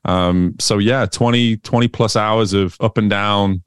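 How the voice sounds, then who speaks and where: A man's voice: lowish voice, steady tone, unhurried, clear, resonant tone, no room sound, clean studio sound. A man in his thirties from England, whose Northern English accent has picked up American sounds, chatting as an interview guest with many fillers and restarts. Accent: Northern English with American influence. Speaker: guest, swim coach. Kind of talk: casual interview conversation.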